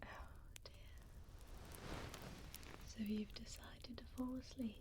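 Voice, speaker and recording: A woman playing a gentle, regal vampire duchess in an ASMR roleplay, speaking softly and formally in a soothing whisper, close to the microphone. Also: a little high, fairly even intonation. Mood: soothing, doting. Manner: soft, soothing, formal and unhurried. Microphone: close binaural ASMR microphone.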